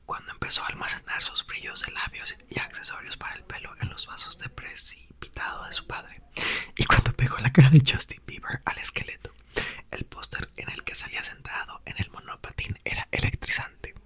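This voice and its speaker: A man narrates a storybook entirely in a whisper, in the style of an ASMR reading. The sound is breathy and bright, with sharp detail in each word. His delivery stays soft, intimate and expressive. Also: medium pitch, brisk. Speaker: ASMR creator reading a book aloud.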